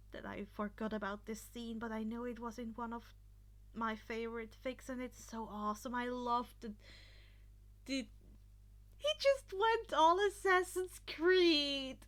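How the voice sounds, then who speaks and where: Female amateur story narrator, high, bright voice, dramatic yet informal. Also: measured pace. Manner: dramatic, expressive. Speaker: podfic reader. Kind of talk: expressive story narration with casual asides.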